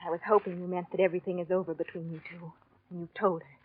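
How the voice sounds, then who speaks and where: A woman's voice: fairly low pitch, flat delivery, quick pace, a little hiss or hum, natural voice. An actress playing a scheming, possessive mistress in a 1940s radio drama, coaxing and silkily controlled as she presses her will. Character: scheming, possessive mistress, coolly cruel wife. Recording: dull vintage 1940s radio recording.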